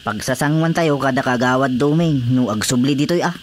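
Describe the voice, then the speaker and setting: A man's voice: medium pitch, brisk, natural voice. A man with an Ilocano accent playing a sociable village councilor in a radio drama comedy. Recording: faintly noisy radio drama track.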